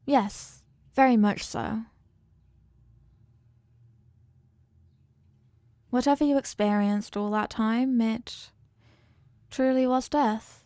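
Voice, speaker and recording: A woman voicing a possessive, regal evil queen in a scripted ASMR roleplay, speaking formally and in measured phrases, doting yet coolly manipulative. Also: a little high, fairly even intonation, dry recording. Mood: tender, solemn. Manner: formal, measured, softly commanding.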